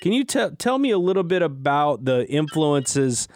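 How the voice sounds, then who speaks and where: A man's voice: fairly high pitch, very animated, normal speed, darkish tone, no room sound, studio quality. Upbeat chatty male podcast host. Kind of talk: casual podcast intro and interview.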